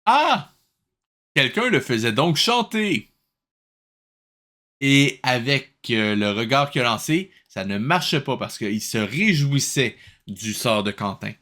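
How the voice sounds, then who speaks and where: A man's voice: mid-range voice, sing-song, slow pace, sharp tone. A man with a Québécois accent hosting a reaction show, giving casual, incredulous and colloquial running commentary.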